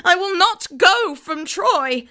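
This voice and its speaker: A woman's voice: very high-pitched, animated, slow, sharp tone, full character voice. A woman playing a grief-stricken young Trojan noblewoman in love, speaking classical verse with theatrical emotion in an audio drama. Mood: anguished.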